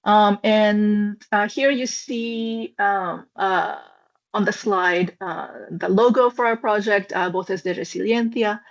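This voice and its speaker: A woman presents her research in an online webinar, in a voice of middling pitch. She speaks thoughtfully and often pauses with ums and uhs. The call audio sounds a little dull and lo-fi. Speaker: webinar speaker, anthropology lecturer.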